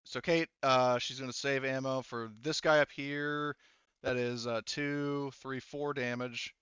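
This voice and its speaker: A man's voice: mid-range voice, fairly even intonation, a little slow, a bit bright, clear, resonant tone, no room sound, highs cut off. A man acting as game master in a tabletop roleplaying game, thinking aloud through rules and dice math.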